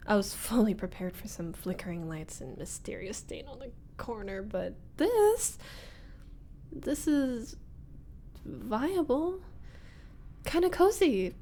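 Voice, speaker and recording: Casting: actress as young female ex-bully roommate, unhurried, wry, easily flustered, roleplay audio with some background noise. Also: mid-range voice, mellow. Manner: hesitant, sighing, nervous laughs, wry muttering. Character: young woman, flustered former school bully meeting new roommate. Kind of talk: scripted roleplay audio monologue, ASMR-like.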